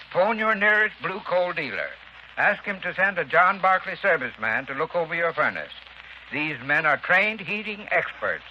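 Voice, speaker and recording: A man gives scripted advice in a measured, earnest tone, his voice a bit higher than most. The old broadcast is dull and muffled, with faint hiss. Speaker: sponsor spokesman. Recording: muffled 1930s radio broadcast.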